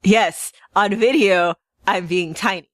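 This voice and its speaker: A woman's voice: medium pitch, slow, no echo, studio quality. A woman co-hosting a podcast, chatting casually in a playful, self-mocking way. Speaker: podcast co-host. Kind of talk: casual, joking chat.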